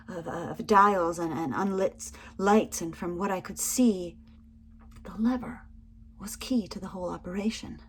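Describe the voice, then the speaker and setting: A woman's voice: medium pitch, a little hiss or hum. A woman reading a first-person story aloud in a dramatic, suspenseful and theatrical manner. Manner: suspenseful, theatrical, swinging from hushed to forceful. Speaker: reader of a written memoir.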